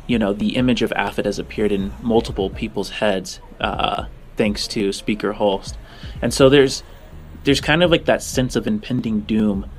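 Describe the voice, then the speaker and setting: Male game master, lower register, informal and halting scene description, music underneath. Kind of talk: improvised narration of a tabletop game.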